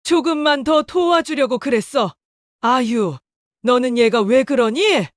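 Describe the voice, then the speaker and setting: An elderly, domineering mother-in-law played by a woman in an audio drama. Her voice sits high for a woman, recorded very dry and close, and she talks down to others in a scolding, dismissive way. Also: measured pace, no room sound. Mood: flustered, defensive. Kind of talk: Korean audio drama acting.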